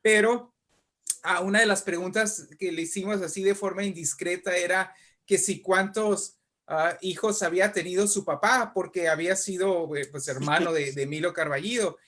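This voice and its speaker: A man's voice: sits high, steady tone, moderate pace, fairly bright tone. A man with a Mexican accent, a guest writer telling anecdotes in an interview, hesitant and repeating his words.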